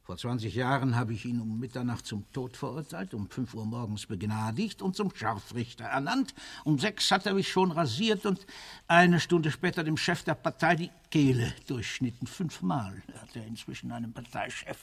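A man in a German radio drama playing a weary, sardonic middle-aged general and party boss with a husky voice and dry, condescending delivery. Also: medium pitch, expressive, normal speed. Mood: weary, brooding.

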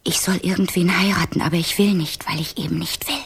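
An actress in a radio play playing a stubborn fifteen-year-old princess who talks in a sullen, defiant, dry way with a husky voice. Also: female voice, fairly low pitch, fairly even intonation, fast talker, full character voice.

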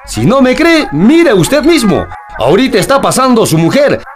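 A man's voice: extremely high pitch, sing-song, moderate pace, soft background music. A man acting a sly, teasing old barkeeper in a radio drama, with a Peruvian accent and a singsong manner.

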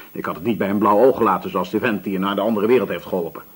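An actor playing a sullen, defensive nineteen-year-old male army corporal in a radio drama, curt and evasive, with a raspy voice. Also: fairly low pitch, fast talker. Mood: bitter, defiant. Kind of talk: Dutch radio drama acting.

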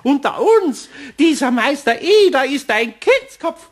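A man's voice: very high voice, big pitch swings, measured pace, voice pushed up, exaggerated voice. A man voicing a bragging male marionette kobold in a radio play, chirpy, boastful and gossipy.

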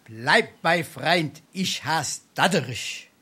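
An actor playing a roguish, boozy, pompous scrounger in a theatrical farce, speaking with a Darmstadt Hessian accent. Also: male voice, a little high, expressive, slow, pushed and bright, husky, heavily acted. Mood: chummy, urging. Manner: pompous, florid, wheedling, declamatory. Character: roguish, boozy, grandiloquent male scrounger coaching his friend. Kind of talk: theatrical dialect farce acting.

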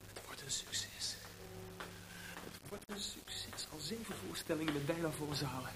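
A man acting in a radio play as an excitable, aggrieved composer short of money, stammering and exclaiming. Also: on the high side, moderate pace, loud music behind the voice, close to an everyday voice. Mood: muttering, elated. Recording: noisy radio play recording.

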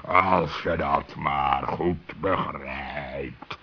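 An actor playing a huge, gruff polar bear customs officer in a children's radio play, growling and curt. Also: male voice, very deep voice, exaggerated voice. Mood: stern. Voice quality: growling, gravelly. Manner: curt, authoritative, slow. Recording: dull old radio play recording.

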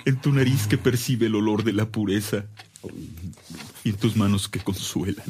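A man's voice: fairly low pitch, normal speed, background noise, close to an everyday voice. A man acting a solemn, grieving priest in a radio drama, with a ceremonial delivery. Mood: solemn, tender. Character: solemn grieving priest at his dying brother's bedside.

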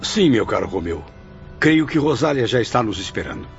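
Male actor as formal, inquisitive memoirist, lowish lively voice, muffled recording with music. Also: moderate pace. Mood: curious, polite. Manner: formal, literary, expressive. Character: courteous, curious spirit man recounting his memoirs. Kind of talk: radio drama acting. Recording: dull audio with music bed.

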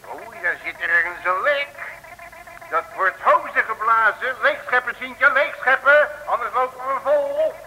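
An actor playing a boastful, excitable comic detective in a 1960s radio serial, given to stammering repetitions. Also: male voice, extremely high pitch, unhurried, heavily acted. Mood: grumbling alarm. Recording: noisy old radio recording.

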